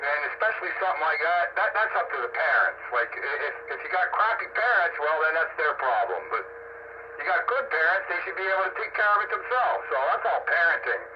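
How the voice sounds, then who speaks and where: A man's voice: on the high side, very animated, fast. An American man in casual, opinionated discussion, speaking bluntly and emphatically. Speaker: radio discussion participant. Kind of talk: casual opinionated political chat. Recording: dull, noisy radio-style audio. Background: strong background noise.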